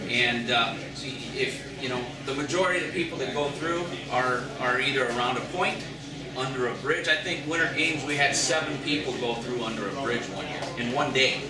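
A man's voice: mid-range voice, moderate pace. A middle-aged man, a fishing guide, giving a folksy storytelling seminar talk to an audience in a large indoor shop. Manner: storytelling, folksy, repeats words. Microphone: far camera, no mic. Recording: echoey room from far off, loud crowd.

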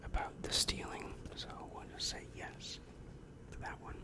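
A young man in a whispered, breathy ASMR roleplay as a demon counselor, asking questions softly very close to the microphone. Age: young adult. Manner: slow, measured, soft questioning. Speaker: ASMR performer. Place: small dim room indoors. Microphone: large microphone very close to the mouth.

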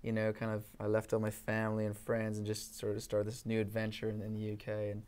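A young man from Canada with an accent mixing Canadian and English, chatting softly and rambling with many fillers in a small studio room. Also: lowish voice, completely flat delivery, fast talker, clear, resonant tone. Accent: Canadian mixed with English. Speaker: guest singer-songwriter. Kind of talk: casual chat, then singing with acoustic guitar.

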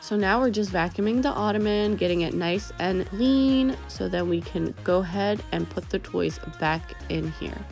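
A woman's voice: medium pitch, normal speed, vocal fry, hardly any pauses, over loud music. A young woman hosting a cleaning vlog, talking in a casual, upbeat way with a congested, slightly cracky voice. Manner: upbeat, chatty, addresses viewers as guys. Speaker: cleaning vlogger.

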